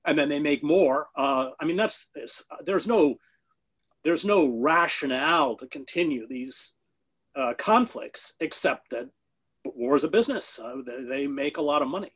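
Impassioned man with halting speech. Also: a little high, animated, fairly bright tone, slightly rough. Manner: impassioned, halting with many fillers and restarts. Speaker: interview guest, former foreign correspondent. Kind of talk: unscripted interview answer.

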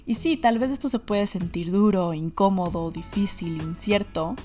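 A woman with an Ecuadorian Spanish accent hosts a personal podcast monologue, speaking reflectively and deliberately, repeating phrases for emphasis. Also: mid-range voice, dark tone, soft background music. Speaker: podcast host.

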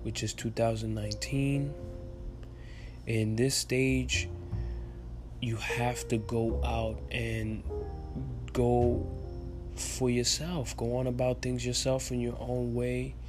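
A man's voice: medium pitch, flat delivery, slow pace, long pauses, over music. A man in his twenties from the Dominican Republic, with Spanish as his first language and a light accent, talking earnestly and a bit ramblingly as a podcast host, restarting his sentences. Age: 20s. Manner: earnest, rambling, motivational, with many fillers and restarts. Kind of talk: casual solo podcast monologue.

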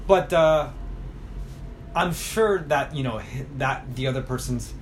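A man's voice: mid-range voice, measured pace, slight background noise, low-quality recording. A man in a casual conversation, thinking aloud with frequent restarts and tag questions like "right?". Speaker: conversation partner. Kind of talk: casual chat.

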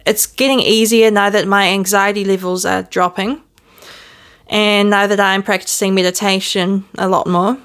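A woman with a New Zealand accent speaks at a middle pitch with a noticeable gravelly fry running through her words. She talks to camera in a gentle, candid and reflective way, often with a soft laugh at herself. It sounds like an unscripted home recording. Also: little pitch movement, moderate pace. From born in the Netherlands, raised in New Zealand. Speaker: podcast host. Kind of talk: casual reflective monologue to camera. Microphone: self-filmed video camera.

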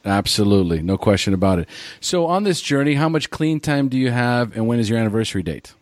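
Male host, mid-pitched, affirming and steady. Dry sound. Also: fast talker, dry recording. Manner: affirming, often says "absolutely". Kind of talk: recovery podcast interview.

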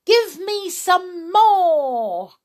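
Screechy, gleeful ancient grandma. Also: female voice, extremely high pitch, expressive, drawn-out words, pitched above the performer's own voice, full character voice. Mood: bossy, excited. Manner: shouting, exclaiming. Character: ancient scrawny old grandma, gleeful, bossy and reckless.

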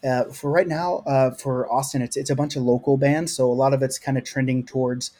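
A man's voice: mid-range voice, steady tone, fairly quick, warm tone. A man from the US South with a light Oklahoma accent, a guest who answers in an earnest, rambling way. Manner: rambling, earnest, lots of likes. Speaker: podcast guest. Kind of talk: casual interview.

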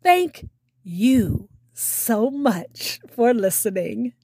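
A woman podcast host reading a scripted sign-off in deliberate, measured phrases with emphatic words. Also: fairly high pitch, sing-song, mellow, husky, no room sound, clean studio sound. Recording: music playing behind the voice.

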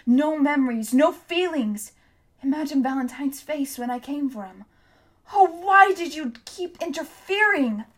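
A woman voicing a furious, grieving teenage girl who shrieks and yells. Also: sits high, lively intonation, a little slow, pitched above the performer's own voice. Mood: bitter. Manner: shrieking, yelling.

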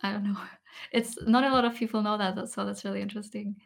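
A woman's voice: medium pitch, fairly even intonation, quick pace, gravelly. A young woman whose first language is German, talking casually as a podcast guest with lots of ums and restarts. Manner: hesitant, many ums and restarts. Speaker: podcast guest, ASMR creator. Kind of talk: casual interview chat.

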